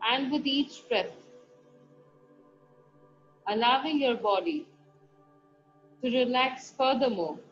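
A woman guiding a meditation, giving soft, slow instructions broken by long pauses. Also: high-pitched. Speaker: meditation guide. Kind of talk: guided meditation. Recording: dull lo-fi recording with faint music. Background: soft background music.

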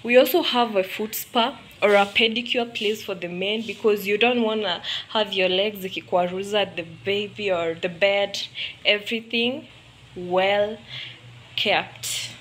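A woman's voice: medium pitch, steady tone, unhurried, fairly bright tone, slight background noise, low-quality recording. A woman with a Kenyan accent giving a chatty walking tour of a salon and barbershop, mixing Swahili phrases into her English.

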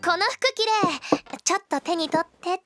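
女性が演じるとても高い声の若い女兵士. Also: female voice, extremely high pitch, expressive, normal speed, bright, forceful tone, faint music behind, heavily acted. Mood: cheerful, polite. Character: spirited, polite young female rookie soldier. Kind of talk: Japanese voice drama acting.

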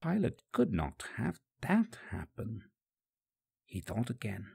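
A man delivers dramatic religious narration in the first person. His voice is gravelly and unhurried, with a solemn, emphatic delivery. The recording is dry and close. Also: on the low side, wildly swooping pitch, mellow, no room sound. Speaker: audiobook narrator.

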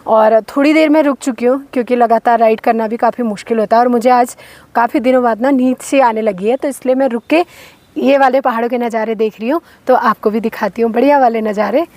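A woman's voice: on the high side, steady tone, fairly quick, darkish tone, no echo. A woman, chatting casually to camera as a vlogger. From Uttarakhand hills, India. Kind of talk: casual vlog chat to camera. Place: mostly outdoors, roadside and village. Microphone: phone at arm's length or clip-on lapel. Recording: faint outdoor background noise.

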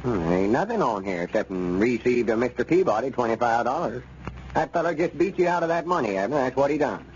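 A man's voice: medium pitch, animated, brisk, darkish tone, close to an everyday voice. A man playing an exasperated country storekeeper with a rural Arkansas accent in radio comedy, drawling and sarcastic. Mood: skeptical. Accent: Southern US, rural Arkansas. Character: exasperated, scolding rural male storekeeper Lum. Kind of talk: 1930s radio comedy acting. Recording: muffled, noisy 1930s radio recording.

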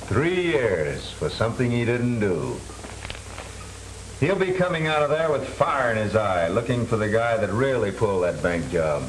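A man plays a scheming, middle-aged American broker in a 1930s crime film. His delivery is smooth, with very mobile pitch. Faint soundtrack hiss sits behind him. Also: sing-song, moderate pace, close to an everyday voice, low-quality recording. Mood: calculating.

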